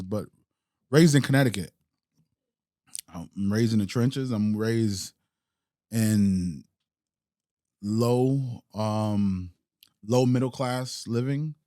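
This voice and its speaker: A man's voice: on the low side, a little mellow, no room sound, studio quality. A man born in Haiti and raised in the United States, a podcast guest and entrepreneur, talking reflectively in street slang with many restarts. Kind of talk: casual interview chat.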